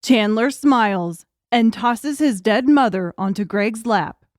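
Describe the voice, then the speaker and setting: Higher-voiced woman narrating a dark audiobook. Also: slow, no echo, studio quality. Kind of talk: scripted narration of a dark thriller.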